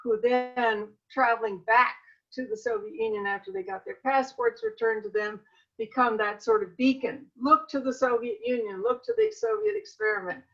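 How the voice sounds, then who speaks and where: Measured woman panelist, muffled audio. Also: on the high side, lively intonation, a bit bright. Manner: measured, with halting restarts. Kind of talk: academic panel discussion.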